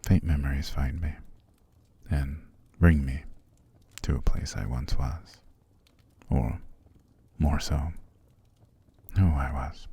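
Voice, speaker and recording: A man playing a possessive, seductive vampire master in an ASMR roleplay, calm and commanding, slow and formal, often sinking to a gravelly whisper. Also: a bass voice, dry recording, full character voice. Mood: dreamy, wistful. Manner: slow, formal, soothing but controlling.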